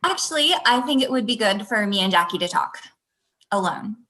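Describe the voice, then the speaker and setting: A woman's voice: medium pitch, animated, fairly quick, pushed and bright. An actress plays a suspicious young girlfriend in a comedy read. Her intonation moves around a lot. She is pointed and probing, speaking over a video call. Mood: coaxing. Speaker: actor in a table read. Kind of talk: acted comedy table read.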